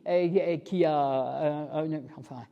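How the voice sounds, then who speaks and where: A woman's voice: deep voice, takes it slowly, highs cut off. An older woman from France, a grandmother, hesitantly describing her small family. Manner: hesitant, with restarts. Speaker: interviewee. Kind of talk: personal life story. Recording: reverberant room.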